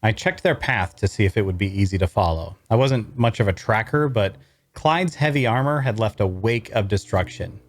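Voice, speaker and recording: Male actor as brooding adventurer, low voice, deliberate fantasy narration, very dry sound. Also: no room sound, lightly acted. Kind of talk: first-person scripted fantasy narration at a table read.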